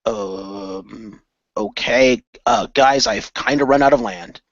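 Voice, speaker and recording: A man's voice: medium pitch, slow, no echo. Casting: man playing clawed beast adventurer, mid-range everyday voice, fretful and sheepish, audio role-play, dry dull sound. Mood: sheepish, worried. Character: clawed beast fighter, plain-spoken and fretful.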